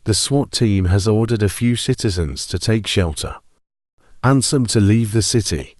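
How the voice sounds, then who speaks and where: A man's voice: on the low side, animated, moderate pace, darkish tone, no echo, studio quality. A man reading scripted horror narration in a stiff, formal manner.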